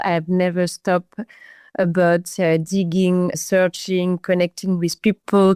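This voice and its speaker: A woman musician with a smooth, clear voice talks earnestly about her music. She sometimes pauses to search for the right word. The recording is dry and close. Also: on the low side, mellow, dry recording. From northern France. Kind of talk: casual interview.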